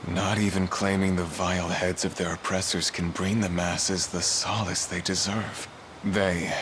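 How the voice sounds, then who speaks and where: An actor playing a guilt-ridden, weary fallen knight in a fantasy video game, speaking gravely in formal archaic language. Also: male voice, low-pitched, noisy background.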